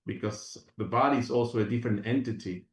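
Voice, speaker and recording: A man's voice: lowish voice, fast talker, echoey room, distant mic. A man from Venezuela, a guest on an interview show, talking in an earnest, rambling way with many restarts. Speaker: guest, functional medicine practitioner. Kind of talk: reflective interview talk.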